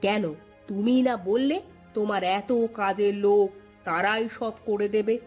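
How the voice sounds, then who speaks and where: A woman voicing a sharp-tongued landowner's wife in a cartoon, scolding with pointed, sarcastic questions. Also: mid-range voice, steady tone, a little slow, soft background music, natural voice. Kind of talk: Bengali cartoon voice acting.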